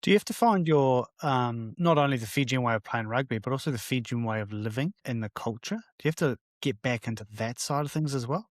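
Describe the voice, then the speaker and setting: A man's voice: mid-range voice, brisk, hardly any pauses, dry recording, clean studio sound. A man hosting a casual podcast interview, asking questions in a friendly, chatty way. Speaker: podcast host.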